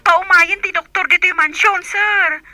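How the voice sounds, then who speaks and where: A woman's voice: very high voice, fairly even intonation, moderate pace, over music. A woman playing a flustered, deferential household servant in a radio drama, speaking through a telephone. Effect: telephone filter.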